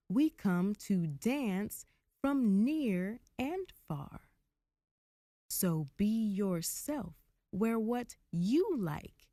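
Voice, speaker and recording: Slow, animated woman reading to kids. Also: mid-range voice, no room sound, studio quality. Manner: slow, deliberate, expressive storytelling. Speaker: picture book narrator. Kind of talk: rhyming children's read-aloud.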